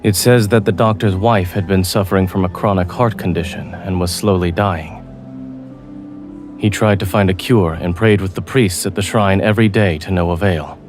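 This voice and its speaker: Composed adult male doctor, acted by a man, very low voice, understated and measured, romance game dialogue, light music behind. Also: fairly even intonation, natural voice. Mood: solemn, measured.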